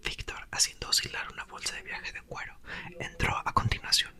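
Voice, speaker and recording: A man narrates a storybook entirely in a whisper, in the style of an ASMR reading. The sound is breathy and bright, with sharp detail in each word. His delivery stays soft, intimate and expressive. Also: fairly quick. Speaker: ASMR creator reading a book aloud.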